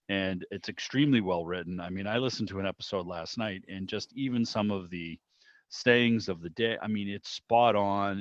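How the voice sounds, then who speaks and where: A man chatting casually and ramblingly as host of an online club meeting, thinking aloud as he goes. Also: on the low side. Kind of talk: casual enthusiastic chat about old radio. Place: indoors on a video call. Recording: muffled, roomy video-call audio.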